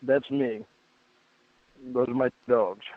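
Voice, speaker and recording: A man's voice: mid-range voice, steady tone, slow, dry recording. Man on a tinny, phone-like recording. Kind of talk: reading a chat log aloud with asides. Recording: tinny phone-like playback, very narrow band.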